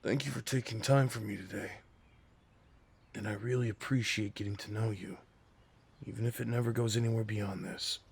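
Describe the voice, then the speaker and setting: A man's voice: on the low side, gravelly, darkish tone, breathy edge. Man acting as a shy, sweet, nervous date. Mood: tender, grateful. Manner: earnest, hesitant, apologetic, tender. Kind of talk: ASMR roleplay, intimate scripted acting.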